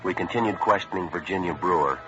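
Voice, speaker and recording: Low-voiced, deadpan police detective played by a man. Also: fast, loud music behind the voice, close to an everyday voice. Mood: flat, factual. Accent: American. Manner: clipped, matter-of-fact, deadpan. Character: terse, businesslike police detective sergeant, narrating his case. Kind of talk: 1940s-50s radio police drama acting. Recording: noisy, dull vintage radio recording.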